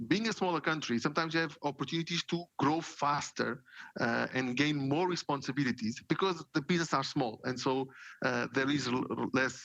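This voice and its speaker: A man's voice: mid-range voice, creaky, fairly bright tone, dry recording, lo-fi sound. A man in his forties from Portugal, a marketing executive, telling his story in a casual interview with lots of fillers and repeated words.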